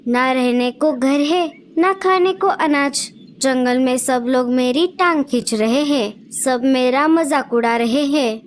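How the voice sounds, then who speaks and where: Woman voicing a high, whiny sparrow wife. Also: slow pace, a little hiss or hum. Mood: complaining. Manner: whiny, complaining. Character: nagging young female sparrow wife. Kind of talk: cartoon voice acting.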